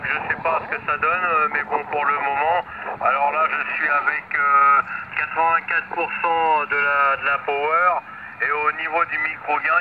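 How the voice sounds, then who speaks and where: A man giving halting, hesitant reports as an amateur radio operator in a casual exchange over shortwave. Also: a little high. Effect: shortwave radio transmission. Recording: dull, very noisy shortwave radio.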